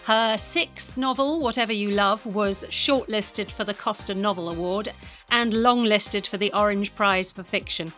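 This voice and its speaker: A woman's voice: medium pitch, unhurried, fairly bright tone, soft background music. A British woman co-hosting a podcast, moving between chatty presenting and scripted reading. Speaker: podcast co-host.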